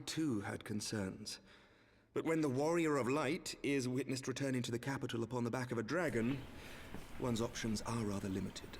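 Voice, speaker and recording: An actor playing a grave, noble knight commander in a game, speaking formally and solemnly. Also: male voice, mid-range voice, noisy background, natural voice. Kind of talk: scripted game cutscene acting. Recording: game audio with background ambience.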